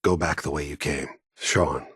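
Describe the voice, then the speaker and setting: A man voicing a cold, world-weary mercenary killer in a video game, gravelly and husky, with a sardonic, deliberate, taunting manner. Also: rumbling low, fairly bright tone, no room sound, studio quality, exaggerated voice. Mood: mocking, calm.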